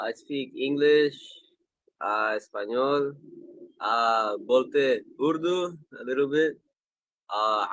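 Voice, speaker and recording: A man's voice: mid-range voice, fairly bright tone, no room sound, poor audio. A man from Chicago with an American accent, chatting casually and hesitantly as a podcast guest. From Chicago, United States. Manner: hesitant, frequent "like". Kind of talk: casual interview chat.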